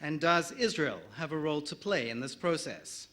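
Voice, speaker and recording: A man's voice: fairly high pitch, animated, moderate pace, bright, forceful tone. A middle-aged man from South Africa with a South African accent, moderating a conference panel, reading introductions with small slips and light jokes.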